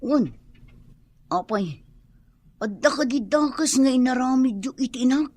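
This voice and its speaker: A woman's voice: sits high, animated, unhurried, darkish tone, dry recording. An actress playing a humble, respectful young woman of the mountains in an Ilocano radio drama. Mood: deferential, matter-of-fact.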